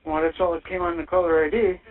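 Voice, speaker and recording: A man's voice: a little high, flat delivery, fast. A man in a casual phone conversation, speaking plainly and tersely over a recorded phone line. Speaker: receiver of a jail phone call.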